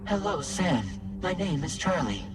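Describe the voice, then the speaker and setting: An actor playing a mysterious faceless mannequin patron, polite, measured and persuasive, in a video game cutscene. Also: male voice, sits high, very animated, over loud music, low-quality recording. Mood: courteous, persuasive.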